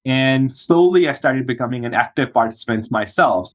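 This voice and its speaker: Thoughtful male psychiatrist, halting speech. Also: medium pitch, expressive. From Pakistan. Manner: thoughtful, hesitant, many restarts. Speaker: psychiatrist guest. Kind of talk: podcast interview.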